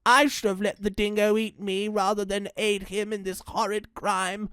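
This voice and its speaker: A woman's voice: mid-range voice, little pitch movement, normal speed, fairly bright tone, dry recording, clean studio sound, close to an everyday voice. A woman voicing a remorseful male echidna in a children's story, speaking in a sad, subdued manner. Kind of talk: children's story character acting.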